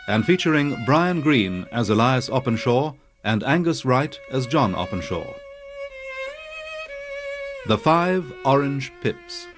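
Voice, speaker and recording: A male radio announcer reads drama credits in a formal, scripted manner. His pitch is average for a man. Violin music plays clearly behind him. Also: animated, slow pace.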